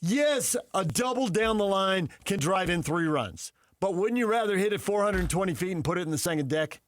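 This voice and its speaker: A man with a slightly high voice debates as a sports radio host. He talks off the cuff and argues his side playfully. The sound is dry, as in a studio. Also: expressive, moderate pace, no room sound. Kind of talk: unscripted sports banter and debate.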